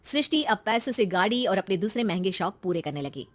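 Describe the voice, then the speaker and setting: A woman's voice: medium pitch, very animated, brisk, no room sound. A woman narrating a scripted moral story.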